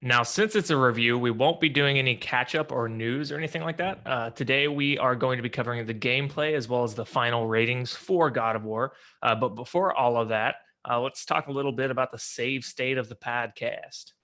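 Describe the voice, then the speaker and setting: A man's voice: mid-range voice, brisk, hardly any pauses, pushed and bright. A man with a slight lisp hosting a podcast, chatting casually about games. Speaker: podcast host. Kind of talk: casual gaming podcast chat.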